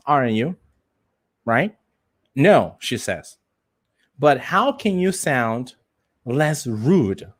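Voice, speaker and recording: English teacher, man repeating phrases deliberately. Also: mid-range voice. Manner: repeats phrases, slow deliberate emphasis on example sentences. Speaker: English teacher for call center trainees. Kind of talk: live online lesson, prompting students.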